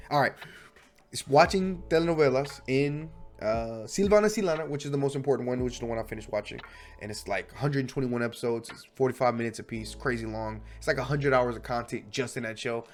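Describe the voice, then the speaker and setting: A young man with a cold talks in a stuffy, nasal voice at an ordinary middle pitch, rambling and restarting his sentences. His delivery is loose and chatty. Faint music plays behind him. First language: English. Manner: chatty, self-correcting, restless. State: sick, stuffy voice. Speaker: language learning vlogger. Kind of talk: casual rambling monologue.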